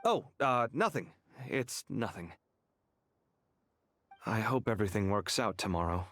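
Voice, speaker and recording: An actor playing a young male scholar in a game cutscene, expressive and quick to protest. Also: lowish voice, no echo. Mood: reflective. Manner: animated, exasperated, quick to protest.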